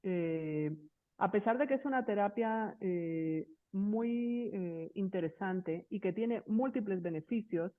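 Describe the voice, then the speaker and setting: A woman's voice: mid-range voice, slow pace, dry recording. A woman doctor from Cuba, explaining a therapy and checking in with the listener. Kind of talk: explaining on a call-in show. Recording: dry, tinny phone line.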